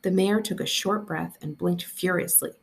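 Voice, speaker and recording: A woman reading a story aloud as a school librarian, in an expressive narrating style. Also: lowish voice, moderate pace, vocal fry.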